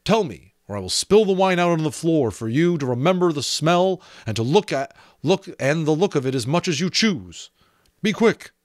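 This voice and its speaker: Man playing an insistent, high-strung storybook magician. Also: very animated, normal speed, no echo, studio quality. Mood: cold, demanding. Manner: questioning, insistent. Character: indignant, doubtful male magician.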